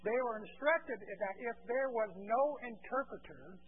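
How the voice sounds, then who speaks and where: A man's voice: very high voice, a little slow. A man preaching an expository sermon to a congregation in an emphatic, instructive manner, posing rhetorical questions and repeating key phrases. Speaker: preacher teaching a church congregation. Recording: muffled lo-fi, clear hum and noise.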